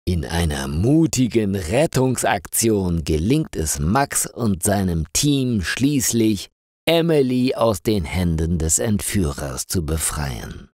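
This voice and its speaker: A man narrating a scripted story for language learners in a slow, deliberate and expressive reading. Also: deep voice, no room sound, clean studio sound.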